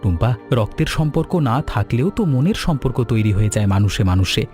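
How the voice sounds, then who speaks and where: A man voicing a kind, generous gentleman customer in a cartoon story, speaking earnestly and with feeling. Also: on the low side, fast, faint music behind, lightly acted. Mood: reassuring. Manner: expressive, earnest, caring. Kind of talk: animated cartoon story dialogue.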